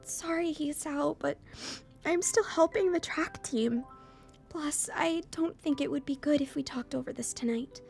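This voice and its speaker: A woman's voice: unhurried, vocal fry, darkish tone, soft background music. A woman voicing a proud but scared teenage girl from a visual novel, raw and emotional. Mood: tentative. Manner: raw, emotional, high-pitched outbursts and pleading. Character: wounded, fiery teenage girl, proud yet scared and vulnerable.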